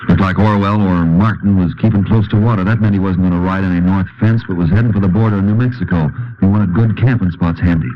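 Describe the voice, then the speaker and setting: A man's voice: sits low, steady tone, fast talker, hardly any pauses, highs cut off, faint music behind, natural voice. An American actor playing a wily, dogged Texas lawman on horseback in an old-time radio drama, with folksy, laconic talk. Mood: measured narration. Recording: noisy, clicking old-time radio recording.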